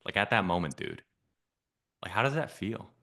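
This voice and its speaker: A man's voice: on the low side, big pitch swings, moderate pace, creaky, pushed and bright. A man coaching on a sales call, asking probing, persistent questions in a casual way.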